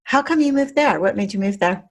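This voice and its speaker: A woman's voice: medium pitch, expressive, a little fast. A woman hosting an interview show, introducing and chatting with her guest in a bubbly, enthusiastic way. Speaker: podcast host.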